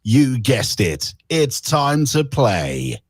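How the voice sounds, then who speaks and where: A man's voice: medium pitch, big pitch swings, voice pushed up. A man playing a grandiose, theatrical game show announcer, drawing out his words in a reverberant recording over music. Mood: grandiose, teasing. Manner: drawn-out, theatrical. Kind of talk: dramatic game show intro.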